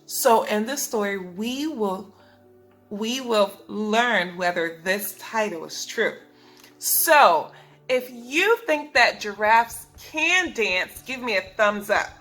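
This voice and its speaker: A woman teaching preschoolers, reading a picture book aloud in an instructive way, speaking clearly to children and asking them questions. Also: mid-range voice, expressive, slow pace, fairly bright tone, faint music behind. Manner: instructive, asks the listeners questions, enunciates for children. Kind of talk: read-aloud lesson for young children.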